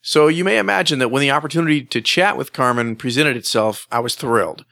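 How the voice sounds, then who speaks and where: A man's voice: mid-range voice, fast talker, gravelly, sharp tone, no echo, clean studio sound. A man hosting a music magazine show, speaking as presenter and interviewer. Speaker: host and interviewer.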